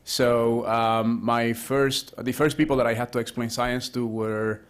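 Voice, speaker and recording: A man's voice: mid-range voice, steady tone, a little slow, smooth. A man from Rico, an associate professor giving a conversational panel talk, full of restarts and fillers. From Puerto Rico. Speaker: associate professor, speaker at a round table.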